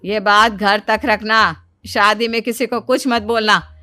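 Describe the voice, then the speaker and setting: A woman's voice: mid-range voice, steady tone, unhurried, pushed and bright, dry recording, soft background music. Stern scolding woman in a cartoon story.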